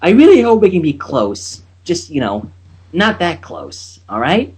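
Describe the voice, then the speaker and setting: A man voicing an earnest, awkward young female unicorn student in a halting way, for a story reading. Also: medium pitch, wildly swooping pitch, darkish tone, husky, exaggerated voice. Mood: gentle, wary.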